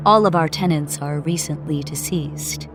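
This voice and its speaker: A woman's voice: deep voice, moderate pace, over music, voice pushed down. A woman voicing a kindly, matter-of-fact male caretaker with polished customer-service patter, in a dramatised ghost story. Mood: calm, matter-of-fact. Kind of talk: dramatised audiobook dialogue.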